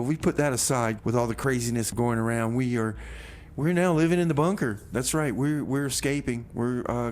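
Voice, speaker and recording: A man playing an easygoing, excitable radio host in a doomsday bunker, chatting casually in a rambling, folksy way. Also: medium pitch, natural voice. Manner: rambling, folksy, repeats himself. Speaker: community radio music show host. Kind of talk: casual chat with improvised comedy radio theater.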